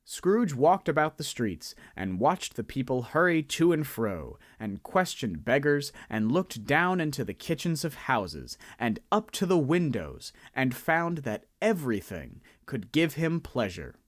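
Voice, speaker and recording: A man narrating a radio drama in an expressive storytelling manner. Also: mid-range voice, a little slow, hardly any pauses, dry recording, clean studio sound.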